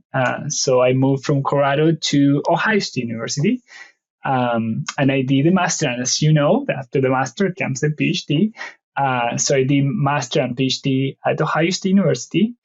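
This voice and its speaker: A man in his late thirties with an Argentine Spanish accent, talking through his answers in a loose, conversational way. He stops often with "uh" and restarts his sentences. His voice sits at a medium pitch, and the audio is slightly dull and lo-fi. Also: moderate pace. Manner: hesitant, many "uh" fillers and restarts. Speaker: veterinary research professor, podcast guest.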